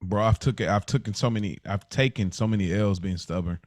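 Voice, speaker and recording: A man's voice: fairly low pitch, brisk, darkish tone, no echo, studio quality. An American man hosting a podcast, talking casually and motivationally in a blunt, slangy, emphatic way. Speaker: podcast host.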